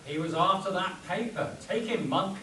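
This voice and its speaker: A man's voice: high voice, normal speed, a loud crowd, lightly acted. Man playing commanding adventurer hero, echoey distant hall. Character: commanding adventurer hero Doc, leading his men. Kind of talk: spoof radio play read live on stage. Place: live stage performance at a convention. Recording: echoey convention room, distant mic, audience noise.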